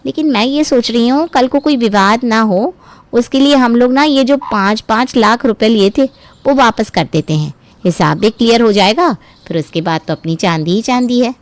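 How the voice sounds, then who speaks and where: A woman's voice: on the high side, expressive, moderate pace, dry recording, close to an everyday voice. Woman as a scheming, sweet-talking younger sister-in-law. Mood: smug, scheming. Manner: ingratiating, wheedling, smug. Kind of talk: Hindi family drama cartoon storytelling.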